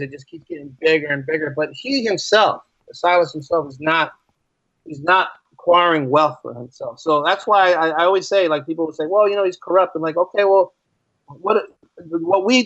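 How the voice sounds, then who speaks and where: A man's voice: fairly high pitch, no echo, highs cut off. A man in a casual interview, explaining his thoughts as a writer and guest, often repeating phrases for emphasis. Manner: animated explaining, repeats phrases, acts out imagined quotes.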